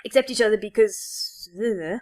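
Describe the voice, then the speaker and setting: A woman's voice: mid-range voice, normal speed, a little mellow. A woman co-hosting a podcast, chatting casually about geeky things in a rambling, giggly, enthusiastic way. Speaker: podcast co-host. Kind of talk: casual geek chat.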